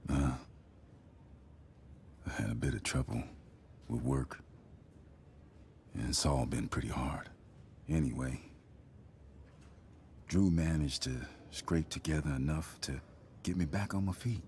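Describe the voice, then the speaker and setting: Tired friendly dad in a video game, super deep voice, slow and hesitant. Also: male voice, vocal fry, darkish tone, a little hiss or hum, exaggerated voice. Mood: weary, candid. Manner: hesitant, plain-spoken. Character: weary down-on-his-luck middle-aged father, amiable, worried. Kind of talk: naturalistic video game acting.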